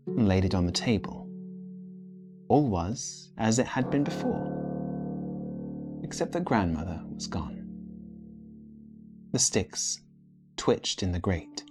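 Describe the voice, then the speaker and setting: A man reading literary fiction as a storyteller, with measured, theatrical phrasing. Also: fairly low pitch. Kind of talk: dramatic literary narration.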